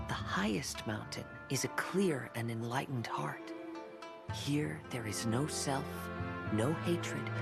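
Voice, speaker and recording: A man voicing a serene, free-spirited young wanderer in a game, speaking in a soft, contemplative, poetic way. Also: fairly high pitch, vocal fry. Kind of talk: scripted game voice acting. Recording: loud music over the voice. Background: loud music.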